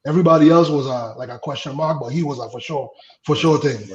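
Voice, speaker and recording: A man in his late thirties from the Virgin Islands, a guest telling stories about his baseball days in a relaxed way, laughing easily and trailing off with fillers. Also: mid-range voice, quick pace, echoey room, highs cut off, a crowd in the background. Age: late 30s. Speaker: guest, former baseball pitcher. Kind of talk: casual interview storytelling.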